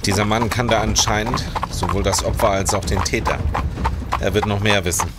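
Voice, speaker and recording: A man playing a shrewd, composed, suspicious police investigator in a period crime radio play, speaking deliberately and with command. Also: on the low side, steady tone, very noisy, lightly acted. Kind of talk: German period crime audio drama.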